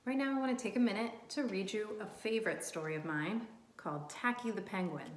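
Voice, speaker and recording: A woman doing a read-aloud storytime for young children in simple, encouraging language, animated and often asking questions. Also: mid-range voice. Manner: animated, asks children questions and pauses for answers. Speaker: early childhood teacher reading aloud to children. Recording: roomy, echoey room sound.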